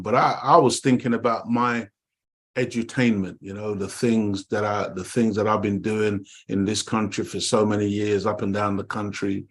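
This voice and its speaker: A middle-aged man from Jamaica, co-hosting an online talk show and preaching with emphatic repetition. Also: on the low side. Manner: preaching, emphatic, repeats words, addresses "dear family". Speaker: co-host. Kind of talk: impassioned talk-show monologue. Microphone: online call.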